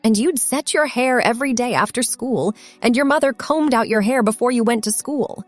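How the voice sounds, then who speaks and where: Woman acting young storybook sister, whiny, goading, teasing, raised pitch, faint music. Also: moderate pace, dry recording, lightly acted. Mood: impatient. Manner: complaining, goading.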